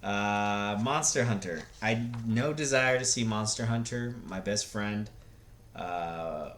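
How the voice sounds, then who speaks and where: A man's voice: on the low side, takes it slowly, fairly bright tone, a little hiss or hum. A man giving casual, unscripted opinions like a video blogger, blunt and rambling, often hesitating as he searches for words.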